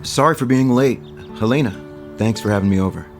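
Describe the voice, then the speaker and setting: A man's voice: lowish voice, a little fast, soft background music, natural voice. A male actor playing an easygoing, polite old flame in a naturalistic audio soap opera. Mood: friendly.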